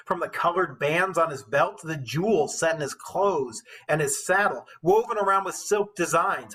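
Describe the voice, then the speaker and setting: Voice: mid-range voice, fairly bright tone, husky, low-quality recording. A teacher reading a poem aloud with dramatic storytelling emphasis, breaking into casual, informal commentary.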